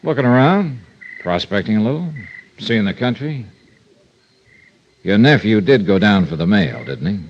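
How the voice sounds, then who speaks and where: An actor playing a confident, wry American insurance investigator in a 1950s radio detective drama, smooth and persistent. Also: male voice, lowish voice, very animated, normal speed, long pauses, slight background noise. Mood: casual, needling. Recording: dull old radio recording.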